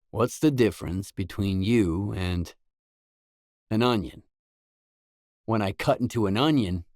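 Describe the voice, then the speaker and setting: Guy acting as a weary hardened game loner, low slow voice, terse and dry, wry deadpan. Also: no room sound, natural voice. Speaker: video game voice actor. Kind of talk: isolated game dialogue lines.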